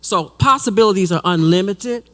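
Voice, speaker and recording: Excited woman speaking from the audience. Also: mid-range voice, moderate pace. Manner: excited, effusive. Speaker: artist and audience member. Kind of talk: audience comment at a panel.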